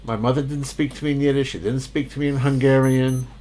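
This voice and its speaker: A man's voice: mid-range voice, fairly even intonation, quick pace, warm tone. Middle-aged man chatting about family. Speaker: interviewee's son. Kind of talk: casual conversation.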